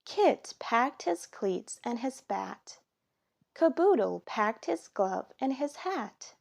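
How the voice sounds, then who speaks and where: A woman reads a children's storybook aloud with a highly animated, rising and falling delivery. Her pitch sits a little high, and she takes her time with every phrase, speaking expressively for young listeners. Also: slow, creaky. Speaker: storybook reader. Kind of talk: children's story read aloud, scripted narration.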